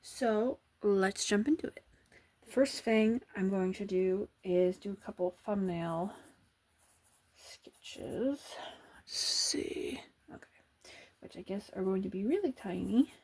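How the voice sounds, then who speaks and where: Slow, breathy soft-spoken woman. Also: medium pitch. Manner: hesitant, thinking aloud, drifting into whispers. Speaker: artist drawing on camera. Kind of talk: soft-spoken ASMR drawing chat.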